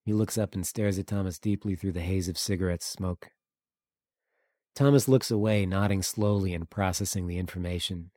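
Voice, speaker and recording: A man's voice: sits low, warm tone, dry recording, clean studio sound. A man narrating a work of fiction as a scripted audiobook.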